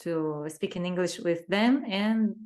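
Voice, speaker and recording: Brazilian woman, native Portuguese speaker, unhurried, lower register; measured interview answers in English. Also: darkish tone. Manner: careful, searching for words in a second language. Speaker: interview guest.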